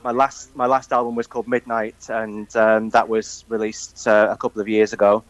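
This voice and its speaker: Hesitant, flat-voiced English man. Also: on the low side, normal speed, no echo, highs cut off. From northwest England. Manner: hesitant, soft-spoken, many ums. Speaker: musician guest. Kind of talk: phone-in radio interview.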